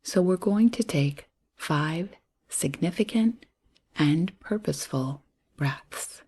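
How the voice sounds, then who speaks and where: A woman guiding a breath and body scan meditation in a soft, breathy voice, soothing and gentle, pausing between short phrases. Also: lowish voice, animated. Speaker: meditation guide.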